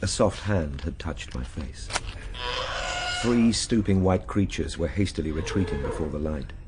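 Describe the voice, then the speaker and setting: An actor playing a grave Victorian time traveller, narrating his ordeal in a measured, formal radio drama style. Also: extremely low pitch, animated, background noise. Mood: tense, grave. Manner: measured, formal literary delivery.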